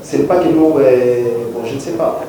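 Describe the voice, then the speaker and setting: A man's voice: medium pitch, moderate pace. A man from Congo with a Congolese French accent, answering questions at a press conference and mixing in Lingala. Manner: mixes French with Lingala, emphatic. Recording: echoey hall, far from mic, crowd.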